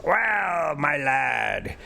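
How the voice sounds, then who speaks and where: A man's voice: a little high, sing-song, extremely slow, creaky, pushed and bright, shouting, pitched above the performer's own voice, heavily acted. A man voicing a gleeful older professor uncle from a storybook adventure, calling out heartily. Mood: cheerful.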